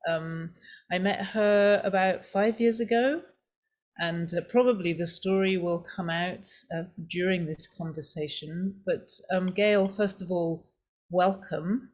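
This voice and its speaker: A woman hosting a podcast interview, asking unhurried questions with fillers. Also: fairly low pitch, fairly even intonation, darkish tone. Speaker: podcast host.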